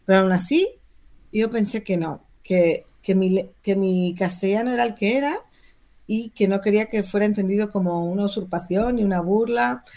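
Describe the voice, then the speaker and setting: Talkative, expressive woman from Spain. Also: mid-range voice, normal speed. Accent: Spanish, from Spain. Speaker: interviewed novelist. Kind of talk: conversational interview.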